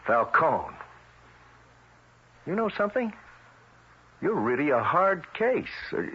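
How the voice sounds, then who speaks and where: An actor playing a tough, suspicious federal agent with a brusque, streetwise way of questioning, in 1970s radio drama style. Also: male voice, on the high side, sing-song, slow pace, husky, heavily acted. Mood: exasperated, probing. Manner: brusque, interrogating, streetwise wisecracking. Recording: dull, noisy vintage radio recording.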